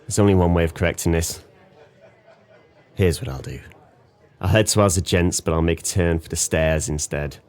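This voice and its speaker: A very low voice belongs to a guilt-ridden, hard-drinking ex-sailor acted by a man. He speaks in bleak, theatrical, poetic phrases, as in a radio play. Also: normal speed, pauses often, a little mellow, lightly acted. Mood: despairing. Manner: brooding, poetic, theatrical monologue. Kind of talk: audio drama acting.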